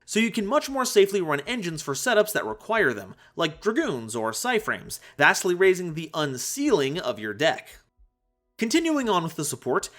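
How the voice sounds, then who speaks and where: Male explainer host, high-pitched and very lively, playful emphasis, music underneath. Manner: animated, playful, emphatic delivery. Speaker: host of a card game analysis video. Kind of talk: scripted explainer narration with jokes. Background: background music.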